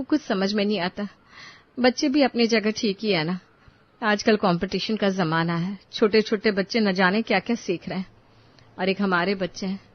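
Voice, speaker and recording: A woman voicing a worried middle-class mother in an animated story, talking in an anxious, fretful way. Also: medium pitch, no room sound, natural voice. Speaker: voice actor. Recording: dull, dry studio recording.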